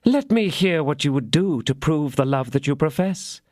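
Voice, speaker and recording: A man voicing a scheming, vindictive noblewoman who speaks in a measured, coaxing way. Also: a little high, expressive, a little mellow, no room sound, clean studio sound, voice pushed up, natural voice.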